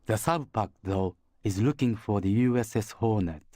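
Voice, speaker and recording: An actor playing a grave narrator of a wartime game campaign, reading in a measured, serious, documentary-like style. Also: male voice, fairly low pitch, mellow, dry recording, studio quality, natural voice. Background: music.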